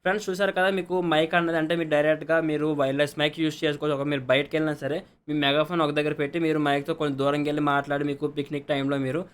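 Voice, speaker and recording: A man's voice: a little high, level tone, very fast, few pauses, clear, resonant tone. A man giving a casual, step-by-step product review, repeating set phrases and mixing in English words. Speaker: product reviewer, channel host. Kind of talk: casual unboxing and review narration.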